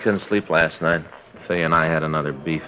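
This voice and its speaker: An actor playing a put-upon police detective who tells a rambling, aggrieved story, in 1950s radio drama style. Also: male voice, a bass voice, steady tone, a little fast, very noisy. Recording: dull old radio broadcast.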